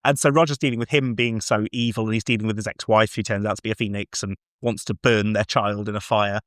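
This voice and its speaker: A man's voice: fairly low pitch, fairly even intonation, quick pace, fairly bright tone, no echo, clean studio sound. A man chatting casually as a podcast host about film and TV, enthusiastic, rambling and prone to swearing.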